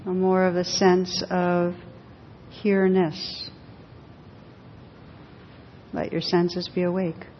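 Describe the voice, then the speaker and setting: Female meditation teacher, very slow and gentle, slightly low register; long hall reverb on the recording. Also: monotone. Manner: gentle, unhurried, with long pauses between short phrases. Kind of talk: guided meditation to a group. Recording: dull echoing hall recording, faint rumbling noise.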